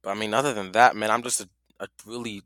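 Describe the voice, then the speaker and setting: A man's voice: lowish voice, steady tone, fast, fairly bright tone, dry recording, clean studio sound. A young adult man from Nigeria, a podcast guest talking in a laid-back, chill way. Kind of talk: casual podcast chat.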